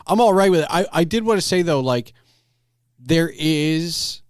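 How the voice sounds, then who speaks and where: A man hosting a casual interview podcast, thinking aloud in a halting way and often restarting his phrases. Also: fairly high pitch, animated, clear, resonant tone, dry recording, clean studio sound. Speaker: podcast host.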